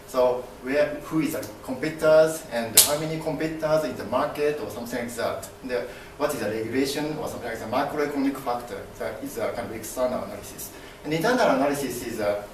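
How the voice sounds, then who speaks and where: Young man, Japanese accent, mid-pitched, presenting in class; halting with fillers, recorded by a far camera in a very echoey room. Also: a little hiss or hum. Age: young adult. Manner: halting, many fillers and restarts, gestures broadly. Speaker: MBA student presenter. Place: classroom with projector screen.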